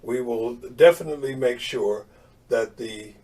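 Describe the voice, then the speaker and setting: A man's voice: mid-range voice, measured pace, a little mellow, lightly acted. Reassuring, formal principal, played by a man; distant, roomy pickup. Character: helpful, agreeable male school principal. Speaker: school principal in training video. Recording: distant mic.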